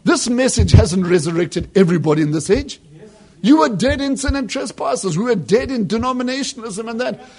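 A man preaching a sermon with fervent emphasis that drops into hushed asides. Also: extremely high pitch, lively intonation, a little fast, husky.

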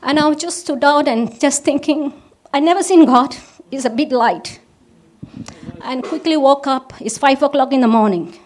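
A woman from Malaysia, giving a personal testimony at a church service in halting English with restarts. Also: sits high, a little mellow. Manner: halting, with restarts and simple non-native grammar.

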